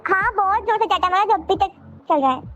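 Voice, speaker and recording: A woman acting an aggrieved young girlfriend in a phone-call drama, plaintive and insistent, with a pitched-up voice. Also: a little fast, a bit bright. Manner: plaintive, reproachful. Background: music.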